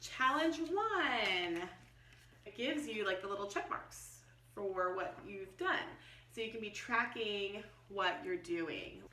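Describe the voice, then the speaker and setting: A woman's voice: medium pitch, lively intonation, slow pace, pushed and bright, slight background noise. A middle-aged American woman chatting informally as she explains things in a casual unboxing review. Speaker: homeschooling vlogger and product reviewer. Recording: roomy echo, distant mic.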